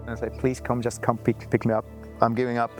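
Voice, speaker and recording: Male interviewee, relaxed anecdotal delivery, frequent restarts, soft music bed. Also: medium pitch, fast talker. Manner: loose, filler-heavy talk with frequent restarts. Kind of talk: casual storytelling interview.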